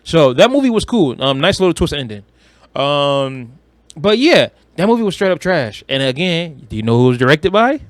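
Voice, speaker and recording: A man's voice: on the high side, big pitch swings, moderate pace, no room sound. A man talking casually about movies as a podcast co-host, in a blunt, slangy way with plenty of swearing.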